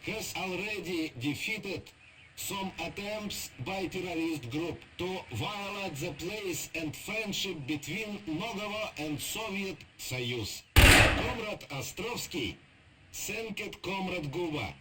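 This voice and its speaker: An actor playing a stiff, obedient propaganda radio newsreader, with a Russian accent and formal, halting broken English. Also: male voice, sits high, lively intonation, background noise. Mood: officious. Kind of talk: scripted propaganda radio news bulletin.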